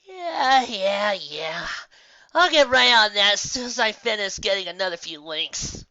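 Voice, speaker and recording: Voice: mid-range voice, animated, unhurried, bright, forceful tone, lo-fi sound, voice pushed up. A reader voicing a lazy, flippant female pegasus with a yawning, dismissive drawl in a fan story. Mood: drowsy, dismissive.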